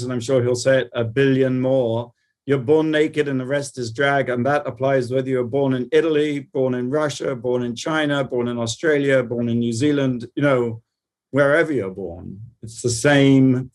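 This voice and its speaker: Articulate man, mid-pitched voice. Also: moderate pace. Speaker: television producer being interviewed. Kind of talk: conversational interview answers.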